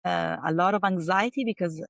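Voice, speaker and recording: A woman's voice: lowish voice, fairly quick, gravelly, dry recording, studio quality. A woman hosting an interview, asking reflective, coaxing questions. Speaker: host, wellness coach. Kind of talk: interview conversation.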